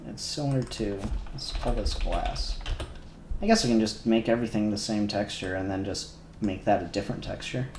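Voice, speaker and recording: A man's voice: on the low side, moderate pace, noisy background. A man live-streaming his 3D modelling work, talking casually and muttering to himself, a little self-conscious. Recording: echoey room, faint music behind.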